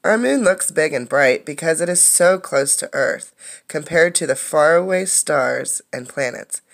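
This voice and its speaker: A woman with a low-set, noticeably creaky voice reads a children's science book aloud. She sounds like a teacher, explaining as she goes and pausing to comment or pose questions. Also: lively intonation.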